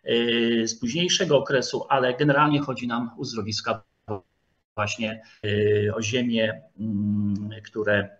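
A man giving a formal online history lecture, speaking haltingly with frequent hesitation sounds and pauses as he searches for words. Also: medium pitch, flat delivery, a bit bright. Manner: formal, addresses listeners politely. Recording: dull lo-fi video call audio.

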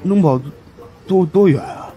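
A man's voice: sits high, unhurried, warm tone, voice pushed up. A man voicing a cheeky, fawning fat sidekick in an audiobook, stammering and obsequious. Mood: cheeky, curious. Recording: music under the voice.

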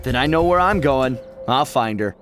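An actor playing an eager, determined young detective agent in a video game, earnest and bold. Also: male voice, medium pitch, expressive, normal speed, natural voice. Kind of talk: animated game acting. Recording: voice under loud music.

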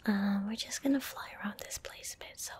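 A young woman speaking in a gentle, hushed ASMR whisper, breathy and close to the microphone. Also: mid-range voice, brisk. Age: young adult. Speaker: ASMR video creator. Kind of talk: soft-spoken ASMR. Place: small indoor room at home. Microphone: close desk microphone.

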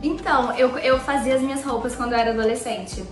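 A young Brazilian woman with a southern gaúcho accent, a guest talking in a relaxed, self-assured way. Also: high-pitched, quick pace, echoey room, far from the mic, music in the background. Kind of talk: casual interview chat. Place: indoor living room on a sofa. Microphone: handheld mic from a few feet.

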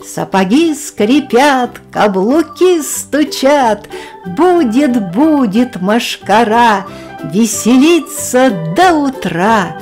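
Slow sing-song woman reading children's verse. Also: on the high side, faint music behind. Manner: sing-song, rhythmic, lively. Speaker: storyteller reading a children's poem.

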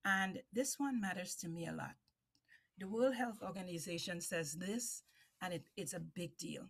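A middle-aged woman with a Trinidadian accent, speaking slowly and emphatically on a video call panel, repeating key phrases. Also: fairly low pitch, breathy edge. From Trinidad and Tobago. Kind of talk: webinar presentation.

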